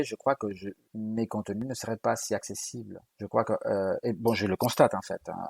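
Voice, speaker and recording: A man's voice: lowish voice, darkish tone, husky, no echo. A young adult man from Galicia with a Spanish accent, whose first language is Galician, speaking as a linguist guest in an interview and explaining patiently with frequent hesitations and repeated words. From Galicia, Spain. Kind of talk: interview conversation.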